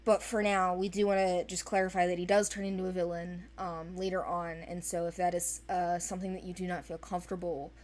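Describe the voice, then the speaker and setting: A woman talking casually and off the cuff as the creator of an animated series briefing people who want to audition. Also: lowish voice, a little fast, few pauses. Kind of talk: casual unscripted discussion.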